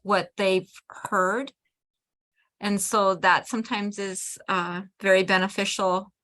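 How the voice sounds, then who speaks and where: A woman who teaches adult English learners, offering practical classroom advice in a relaxed discussion with other teachers. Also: medium pitch. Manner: measured, practical advice with fillers. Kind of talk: casual discussion among teachers.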